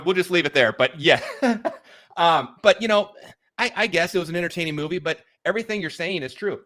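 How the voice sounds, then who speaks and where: A man hosting a casual interview, agreeing with his guest in a halting way with repeated words. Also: on the high side, pushed and bright. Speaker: talk show host.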